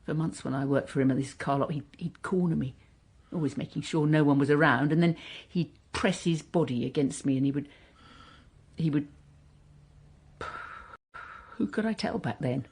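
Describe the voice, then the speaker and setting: Bitter, husky, defiant woman. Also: deep voice, darkish tone. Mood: wounded, angry. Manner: impassioned, halting when hurt. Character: bitter, defiant woman wronged years ago, facing police. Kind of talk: naturalistic radio drama acting.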